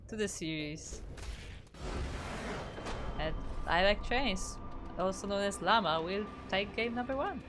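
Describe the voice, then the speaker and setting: A woman's voice: mid-range voice, lively intonation, takes it slowly, long pauses, over music. A young woman from Poland with a Polish accent, casting a strategy game solo and chatting with viewers in a loose, rambling way. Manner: chatty, thinks aloud with restarts, reads out chat. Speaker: esports caster. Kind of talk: solo game commentary on a stream.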